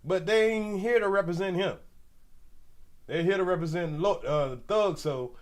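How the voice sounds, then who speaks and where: A man's voice: high voice, moderate pace. A man in his forties hosting a casual livestream commentary, slangy and rambling, with a stuffy nose. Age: 40s. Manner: loose, rambling, slangy, talks straight to viewers. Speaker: commentary channel host.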